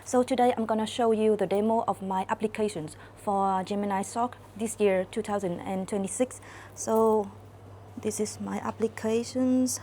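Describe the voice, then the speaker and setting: Young woman w/ demoing her app, halting, lots of uh and um, faint hiss behind. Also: medium pitch, steady tone, mellow. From Vietnam. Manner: careful, halting delivery with frequent "uh" and "um" fillers. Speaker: student intern presenting an app. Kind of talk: screen-recorded app demo walkthrough.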